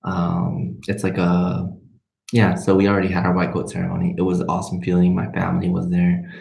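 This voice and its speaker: A young man in his twenties, a native Spanish speaker, talks casually to a livestream in a roomy, echoing space. His low voice stays fairly flat as he thinks aloud and drifts between half-finished sentences. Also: a little fast, gravelly. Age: 20s. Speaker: medical student and vlogger hosting a live question session. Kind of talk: casual livestream question-and-answer chat.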